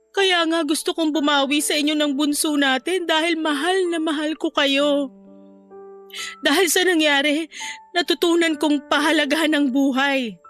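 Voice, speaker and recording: A woman's voice: sits high, a bit bright. A woman playing a tender, remorseful wife and mother in grief, speaking earnestly and emotionally in a radio-style drama.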